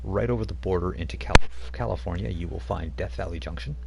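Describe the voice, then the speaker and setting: Deep-voiced game master, rambling. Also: male voice, fast, creaky, very noisy. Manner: loose, rambling scene-setting with casual asides. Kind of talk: narrating a tabletop game.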